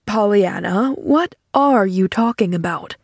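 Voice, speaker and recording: Woman voicing a wistful, halting lonely man. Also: mid-range voice, animated, darkish tone, dry recording, studio quality. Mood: pleading. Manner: halting, pleading. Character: lonely, wistful wealthy older man, earnest and easily flustered. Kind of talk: audiobook character acting.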